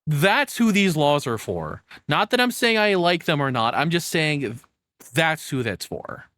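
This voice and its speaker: A man's voice: a little high, very animated, measured pace, fairly bright tone, no echo, clean studio sound. A man giving casual, off-the-cuff reaction commentary in a rambling, conversational, sardonic way. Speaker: reaction video host.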